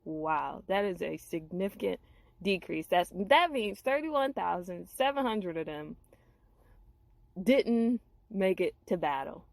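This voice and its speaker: A woman telling a Bible story in a dramatized, expressive way, with informal, reacting asides. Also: mid-range voice, no room sound.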